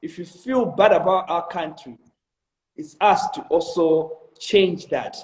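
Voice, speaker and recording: A man's voice: a little high, takes it slowly, roomy sound, poor audio. A young man in his twenties with a Malawian accent, answering interview questions in an earnest, motivational way, with many ums and restarts. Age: 20s. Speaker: interview guest, video director.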